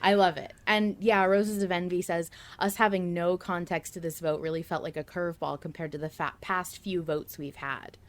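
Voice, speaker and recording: A woman's voice: lowish voice, fairly even intonation, moderate pace, hardly any pauses, a little hiss or hum. A woman hosting a casual fan discussion podcast, speaking with enthusiasm as she comments and reads out remarks. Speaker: podcast host.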